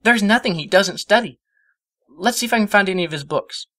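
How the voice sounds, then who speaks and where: A man voicing a bookish, excitable young female pony who gushes earnestly and gets flustered, in a storybook reading. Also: high voice, a little fast, pitched above the performer's own voice. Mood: wistful admiration. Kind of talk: storybook character voice.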